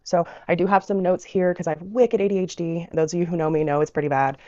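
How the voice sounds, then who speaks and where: A woman's voice: fairly low pitch, steady tone, fast, dark tone, no echo, muffled recording. A young woman vlogging as a dog trainer, telling an emotional personal story. She sounds nasal and congested from crying and a cold, and she rambles with many fillers. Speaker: dog trainer and business owner.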